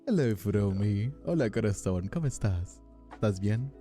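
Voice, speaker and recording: A man's voice: lowish voice, expressive, moderate pace, mellow, soft background music. A man from Brazil hosting a livestream in casual, playful chat, rambling and often repeating himself. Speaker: streamer and voice actor hosting a livestream.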